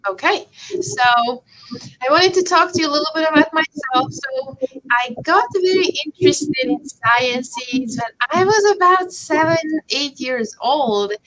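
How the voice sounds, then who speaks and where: A woman's voice: high voice, lively intonation, measured pace, fairly bright tone, poor audio. A woman, a professor giving a friendly chemistry talk to children over a video call and checking in with them often. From Hungary. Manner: encouraging, checks in often with the kids. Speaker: professor teaching a kids' chemistry camp.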